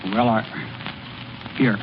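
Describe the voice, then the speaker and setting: A man's voice: on the low side, fairly even intonation. An actor playing a plainspoken small-town American ballplayer and delivery man in old-time radio style, with a folksy, slangy way of talking. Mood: shy, miserable. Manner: folksy, slangy, halting when shy. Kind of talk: 1930s-40s American radio acting. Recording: muffled, noisy old radio broadcast.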